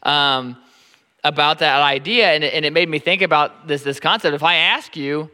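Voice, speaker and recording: A man in his thirties with a Nebraskan accent, preaching a sermon in a halting, informal way that repeats words often. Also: on the high side, pushed and bright, smooth, long reverb tail. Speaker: pastor.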